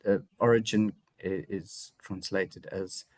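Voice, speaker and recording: An artist from South Africa with a South African English accent, giving a reflective seminar presentation about his own work, pausing often with fillers and restarts. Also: low-pitched, fairly even intonation, a little mellow, dry recording. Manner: hesitant, frequent fillers and restarts; reflective, personal.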